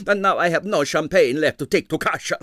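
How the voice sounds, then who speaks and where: A man's voice: fairly quick, fairly bright tone, dry recording, studio quality, close to an everyday voice. A man voicing a scheming male ballet impresario who coaxes and complains in broken English. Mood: nasty. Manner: high, wheedling, peevish, broken English.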